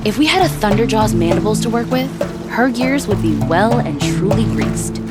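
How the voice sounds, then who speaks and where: A woman's voice: medium pitch, expressive, moderate pace, loud music behind the voice, close to an everyday voice. A woman playing a cheerful, eager young weapons tinkerer in a video game, chatty and playful. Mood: excited. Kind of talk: video game dramatic acting.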